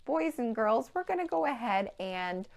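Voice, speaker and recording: An American woman in her thirties presenting a children's storytime, speaking clearly and animatedly in simple phrases to young children. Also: fairly high pitch, very animated, unhurried. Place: indoor children's play room.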